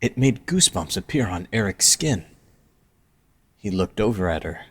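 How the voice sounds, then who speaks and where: A man's voice: fairly low pitch, sing-song, normal speed, breathy edge. A man reading scripted narration for an audio drama as its narrator.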